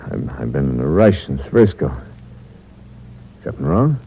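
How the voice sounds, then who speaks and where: An actor playing a cold, hard-boiled impostor who narrates the story in a terse, clipped manner, in a 1950s radio drama. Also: male voice, rumbling low, very animated, a little slow, gravelly, slightly rough, exaggerated voice. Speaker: narrator and lead. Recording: muffled old radio recording with hiss.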